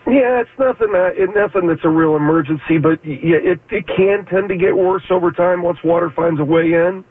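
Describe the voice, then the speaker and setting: A male guest expert with a slightly scratchy, allergy-worn voice. He talks haltingly and fills pauses with ums and uhs. He sits a touch high, heard over a tinny phone line. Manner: hesitant, many fillers. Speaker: foundation repair expert guest.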